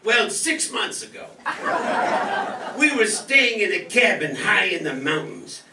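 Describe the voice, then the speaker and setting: A man playing a glib, courtly gentleman suitor in a theatrical radio soap opera parody. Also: sits high, very animated, unhurried, slight background noise, heavily acted. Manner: smooth-talking, theatrical, courtly. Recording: echoey stage, far mic.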